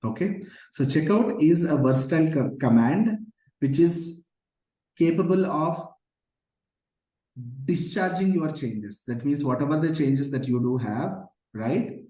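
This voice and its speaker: A hoarse man teaching a live online coding class, explaining patiently with many fillers like "okay" and "uh". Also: fairly high pitch, expressive, a little slow, dark tone. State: hoarse from shouting. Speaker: programming instructor. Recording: roomy echo.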